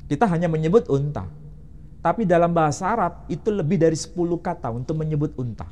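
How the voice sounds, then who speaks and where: A man's voice: on the high side, fast, mellow. A man, an Islamic teacher, explaining religion in an interview and quizzing the interviewer with rhetorical questions.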